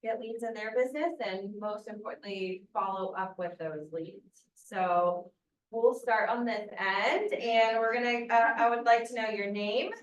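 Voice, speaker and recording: A woman's voice: mid-range voice, measured pace, highs cut off. A woman moderating a real estate agents' panel in an upbeat, joking way. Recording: echoey room, distant mic, faint noise.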